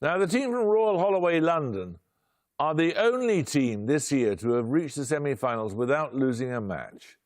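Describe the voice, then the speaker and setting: Brisk, dry-witted quiz host man. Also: on the high side, expressive, dry recording. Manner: brisk, dry and wry, precise diction. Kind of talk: formal quiz presenting, reading questions.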